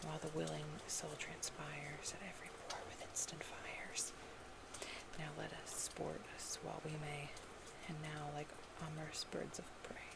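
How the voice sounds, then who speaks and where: A woman performing whispered ASMR roleplay as a poetry reader, breathy and gentle, in a deliberate manner. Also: low-pitched, heavy background noise. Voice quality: breathy whisper with creaky edges.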